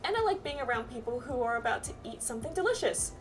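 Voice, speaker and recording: An eager companion spirit from a game voiced by a woman, bubbly and teasing about food. The voice rides higher than middle, over faint music. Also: very animated, quick pace, far from the mic. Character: excitable bodiless companion spirit who loves food.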